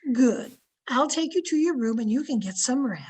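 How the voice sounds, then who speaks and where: Woman playing a high-voiced, meddlesome older aunt. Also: lively intonation, normal speed, heavy background noise. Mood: knowing, insistent. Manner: chatty, knowing, insistent. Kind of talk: old-time radio drama acting.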